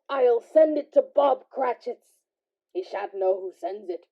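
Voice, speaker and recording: A woman's voice: a little high, lively intonation, slow, dull audio, full character voice. A woman playing an overjoyed, eager old reformed miser in a radio play, effusive and theatrical. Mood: delighted, eager. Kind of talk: stage-style radio play acting.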